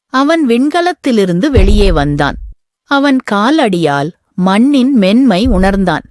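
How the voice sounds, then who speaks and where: Slow, lively woman storyteller. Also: mid-range voice. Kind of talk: children's bedtime story narration.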